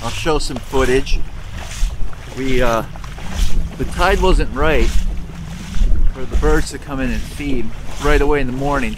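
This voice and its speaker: A man in his thirties hosting a fishing vlog, chatting casually with lots of fillers. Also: fairly high pitch. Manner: relaxed, chatty, many fillers. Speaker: fishing channel host. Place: outdoors in a coastal salt marsh. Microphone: action camera held close. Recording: noisy outdoor camera audio.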